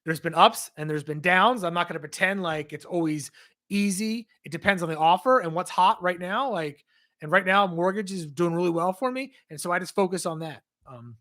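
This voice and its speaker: Male livestream host, higher voice, hoarse from losing his voice, rambling answers to chat questions. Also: fairly bright tone. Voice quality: strained, hoarse. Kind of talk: casual livestream Q&A.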